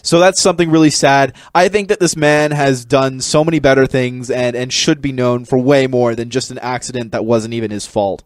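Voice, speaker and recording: A man's voice: mid-range voice, fairly even intonation, fairly quick, hardly any pauses, no echo. Man co-hosting a podcast, dry sound. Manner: opinionated, blunt. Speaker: podcast co-host. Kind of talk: casual entertainment commentary.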